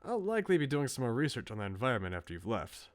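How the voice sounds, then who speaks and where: A man voicing an earnest, bookish researcher from a visual novel in a measured, formal, serious way. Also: mid-range voice, sing-song, natural voice. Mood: hushed, serious.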